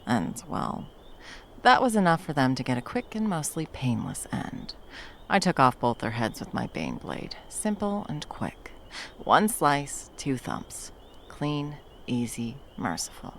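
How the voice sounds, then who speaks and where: A woman playing a battle-hardened, fiercely protective monster hunter in an intimate ASMR roleplay, speaking to a lover with dry, tender menace and a gravelly voice. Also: extremely low pitch, slight background noise, heavily acted. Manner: menacing yet tender, slow deliberate storytelling with dry understatement.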